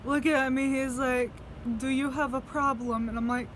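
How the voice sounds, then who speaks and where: A woman's voice: sits high, steady tone, normal speed, fairly bright tone, a little hiss or hum. A young woman telling stories in a casual video diary, rambling, swearing freely and laughing between sentences. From United States. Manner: rambling, profane, laughs often. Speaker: vlogger.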